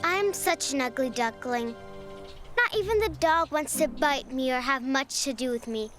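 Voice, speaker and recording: A woman voicing a little young duckling, earnest and childlike, in a children's animated storybook. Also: high voice, normal speed, music in the background, natural voice. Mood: dejected. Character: sad, self-pitying little young female duckling.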